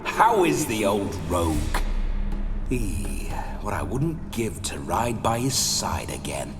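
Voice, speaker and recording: A man's voice: on the low side, big pitch swings, slow pace. An actor playing a roguish, bawdy ghost of a dead nobleman in a video game, with theatrical, swaggering, old-fashioned speech. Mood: wry, boastful. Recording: long reverb, music behind.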